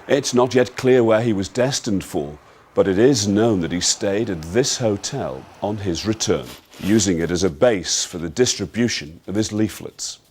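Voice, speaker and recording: A man's voice: lowish voice, normal speed, hardly any pauses, a little mellow, a little hiss or hum. A man narrating a dramatised film from a script, in the style of a news report.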